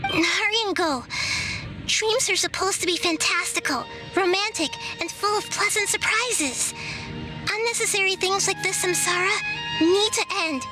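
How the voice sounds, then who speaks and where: A woman voicing a gentle, childlike girl deity in a video game, soft, wise and measured in manner. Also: very high voice, rough recording. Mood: solemn, hopeful. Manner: measured, thoughtful, explanatory. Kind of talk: video game character acting. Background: music.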